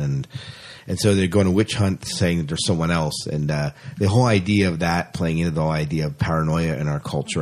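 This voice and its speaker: A man's voice: a bass voice, a little mellow. A man chatting casually about science fiction as a podcast co-host, often repeating phrases for emphasis.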